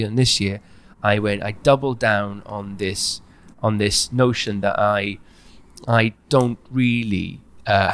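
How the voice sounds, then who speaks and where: A man's voice: medium pitch, clean tone, dry recording, clean studio sound. Man talking candidly. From Wales. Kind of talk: candid, personal conversation.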